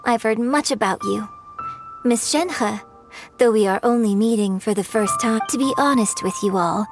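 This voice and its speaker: A woman voices a refined young opera performer who speaks with courteous, composed formality. Her voice is slightly high and steady, with music playing underneath. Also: normal speed, natural voice. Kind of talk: game voice acting.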